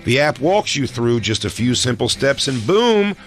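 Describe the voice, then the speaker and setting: A man co-hosting a comedy podcast, riffing loudly in casual banter and reading an ad read. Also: mid-range voice, very animated, normal speed, faint music behind. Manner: loud, riffing, slipping into silly voices. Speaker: podcast host.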